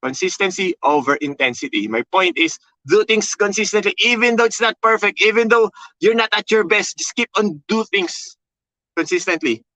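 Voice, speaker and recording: A man with a Filipino accent and a loud voice, teaching a motivational webinar with emphatic, high energy, repeating key phrases and often checking in with okay and all right. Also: animated, moderate pace, no room sound, low-quality recording. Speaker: content creation coach.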